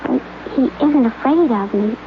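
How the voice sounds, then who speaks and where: A young actress plays a gentle, trusting young woman who speaks softly and stumbles over her words in a 1950s radio drama. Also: high-pitched, moderate pace. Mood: tender. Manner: hesitant, soft-spoken, stumbles over words. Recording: tinny, hissy old radio broadcast.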